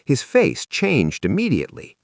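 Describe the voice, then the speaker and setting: A man narrates a children's story as if it happened to him. His delivery is expressive and animated, with pitch that swings widely around an average male register. The sound is dry, with little room in it. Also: moderate pace, gravelly, no room sound. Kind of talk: scripted first-person children's audiobook narration.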